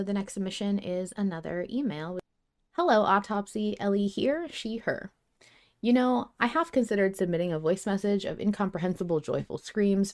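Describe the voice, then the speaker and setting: A woman's voice: medium pitch, darkish tone. Female podcast co-host, mid-range voice, casual banter and read-aloud listener submissions. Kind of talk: casual chat and reading out listener emails.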